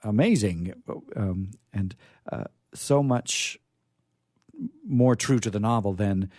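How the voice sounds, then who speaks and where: A man hosting a radio theatre show, chatting casually in a halting, rambling, hesitant way. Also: lowish voice, darkish tone, dry recording, clean studio sound.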